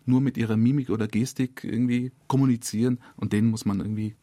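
A man's voice: medium pitch, steady tone, fast talker, warm tone, dry recording. A male radio drama director explaining his work in a studio interview, in a hesitant, searching way.

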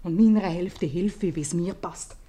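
A woman's voice: fairly low pitch, unhurried, warm tone, slight background noise, natural voice. A woman playing a nagging, folksy innkeeper's wife with a Swiss German accent in a 1950s radio comedy. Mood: scolding, bitter. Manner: scolding, folksy. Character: nagging, plain-spoken innkeeper's wife, mother of a daughter.

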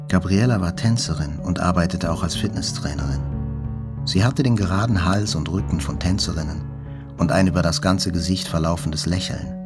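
A wounded man soberly tells of his recovery. His voice is on the low side, measured and reflective, like literary narration in a radio play, with soft music behind. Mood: reflective, tender. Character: wounded male journalist soberly recounting his hospital recovery.

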